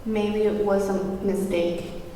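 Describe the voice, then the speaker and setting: A withdrawn, fearful housewife acted by a woman at a script read speaks tersely with little lift in pitch and a slow pace. The sound is very echoey and far from the mic. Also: little pitch movement, warm tone, lightly acted. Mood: guarded, subdued. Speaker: actor in a script table read. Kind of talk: screenplay table read. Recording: very echoey hall, distant mic, faint noise.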